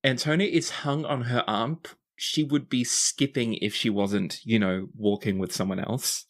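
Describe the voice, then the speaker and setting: A man's voice: mid-range voice, steady tone, unhurried. A man working as game master, improvising narration for a tabletop role-playing game.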